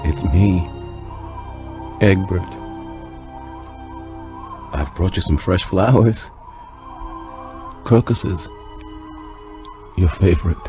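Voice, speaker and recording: An actor playing a somber, remorseful grown son in a radio drama, speaking in a hushed, halting way. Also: male voice, low voice. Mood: mournful, resigned. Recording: somber music behind the voice.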